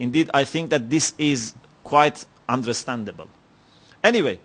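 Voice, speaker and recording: Man w/ giving a lecture, slightly high voice, slow and thoughtful, lots of "you know". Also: fairly bright tone. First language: Persian. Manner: measured, reflective, with many pauses and "you know" fillers. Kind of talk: religious lecture. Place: lecture hall.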